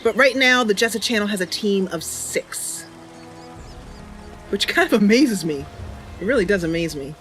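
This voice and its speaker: Chatty woman streamer with lively intonation. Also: mid-range voice, normal speed, long pauses. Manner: chatty, thinking aloud. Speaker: live streamer and channel host. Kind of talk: casual game stream chat. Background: game music.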